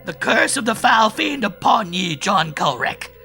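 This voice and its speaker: A man's voice: high voice, sing-song, unhurried, creaky, sharp tone, faint music behind, pitched above the performer's own voice, full character voice. A man voicing a grim, gaunt old woman, screaming a theatrical curse in archaic speech for a horror audiobook. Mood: furious cursing.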